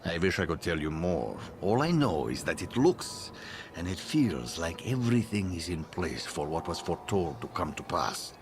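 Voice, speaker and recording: An actor playing a solemn man of an ancient order in a game cutscene, speaking gravely and deliberately in portents. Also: big pitch swings, over music. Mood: grave, foreboding. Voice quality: low, gravelly. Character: solemn male member of an ancient prophecy-bound order. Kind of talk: video game cutscene acting.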